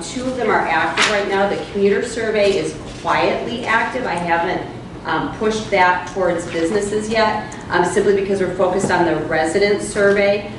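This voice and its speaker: A woman leading a public housing meeting, walking the group through a survey with frequent fillers. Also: mid-range voice. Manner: explanatory, many fillers. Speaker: meeting facilitator. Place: church hall meeting. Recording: echoey room from far off with noise.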